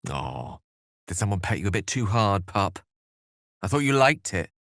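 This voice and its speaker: An actor voicing a wry, bookish human wizard in a video game, speaking with a British accent in an articulate, theatrical and wordy manner. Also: male voice, low-pitched, big pitch swings, moderate pace, a bit bright, no room sound, studio quality, exaggerated voice. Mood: sardonic.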